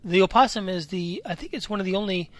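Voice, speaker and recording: A man in a casual podcast chat with a slightly raspy voice, talking loosely and jumping between topics. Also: sits high, fast talker, dry recording. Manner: chatty, jumps between topics. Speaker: podcast co-host. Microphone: home microphone.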